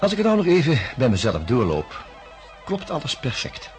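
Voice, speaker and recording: An actor playing a measured, polite male psychiatrist in a radio drama, speaking deliberately and reflectively. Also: medium pitch, huge pitch swings, soft background music. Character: measured, open-minded male psychiatrist investigating a case. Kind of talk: radio drama acting and first-person narration.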